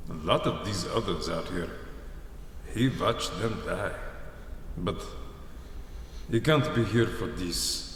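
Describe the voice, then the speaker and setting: Man playing slow, terse, cold henchman in deep echo. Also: a bit bright. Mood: somber regret. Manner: terse, clipped, halting phrases. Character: cold male henchman executioner with a reluctant soft spot. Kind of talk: radio drama acting. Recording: roomy long echo with faint noise.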